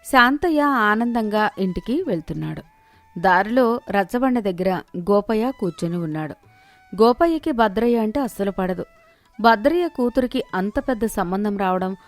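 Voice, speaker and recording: A woman's voice: medium pitch, no echo. A woman narrating a scripted moral story as its storyteller.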